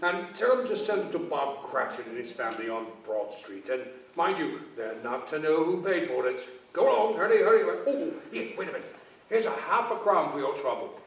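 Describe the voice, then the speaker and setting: A man playing a reformed old miser in a theatrical radio play, excitable and exclamatory, repeating his words haltingly. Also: on the high side. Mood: giddy joy. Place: stage of a showcase theater. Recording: echoey theater, distant mic, faint noise.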